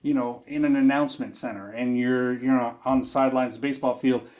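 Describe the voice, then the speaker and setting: A man's voice: medium pitch, fairly even intonation, fairly quick, a little mellow, muffled recording. A man giving a casual product demonstration, explaining step by step in a conversational, folksy way.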